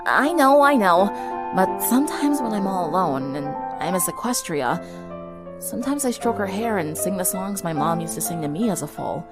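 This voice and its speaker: A woman's voice: mid-range voice, animated, moderate pace, natural voice. Woman voicing a kind, sheepish teenage girl, music behind. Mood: warm, confiding. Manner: compassionate, patient, easily embarrassed. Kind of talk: dramatic fan-fiction reading.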